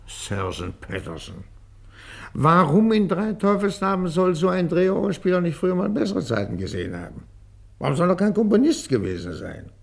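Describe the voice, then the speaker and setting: A man's voice: medium pitch, gravelly, darkish tone, breathy edge, heavily acted. An actor playing a stubborn, dismissive police inspector who lectures his subordinate emphatically in a German radio crime drama.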